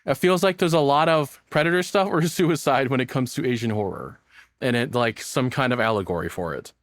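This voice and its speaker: A man's voice: medium pitch, a little fast, no echo, studio quality. A man reacting to a video as a streamer, chatting casually and swearing freely.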